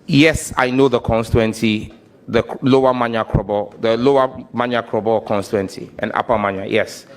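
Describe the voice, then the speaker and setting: A Ghanaian man who is a nominee answers questions at a formal hearing. His mid-pitched voice stays careful and correct, and he keeps addressing the chairman. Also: steady tone, moderate pace, slight background noise. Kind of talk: formal testimony.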